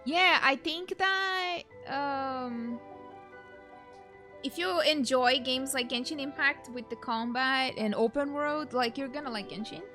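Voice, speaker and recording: A woman's voice: high voice, takes it slowly, sharp tone, soft background music. A young adult woman giving casual, rambling let's play commentary as a game streamer. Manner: informal, rambling, frequent "like".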